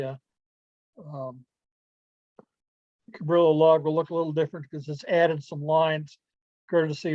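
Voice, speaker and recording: A man giving an informal online presentation to club members, explaining things in a halting, rambling way over a video call. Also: on the high side, dry recording. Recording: muffled lo-fi video call audio.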